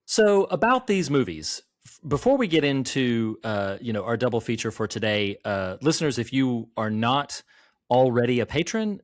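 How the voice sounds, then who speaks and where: A man's voice: lowish voice, no room sound, clean studio sound. A man hosting a podcast, speaking to listeners in casual chat and announcing upcoming episodes. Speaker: podcast host.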